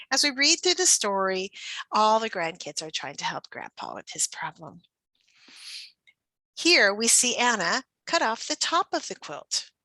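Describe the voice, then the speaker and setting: A woman presents as a teacher trainer with a bright, expressive voice on the high side. She explains in an instructional way and often puts questions as one would to young children. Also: no echo.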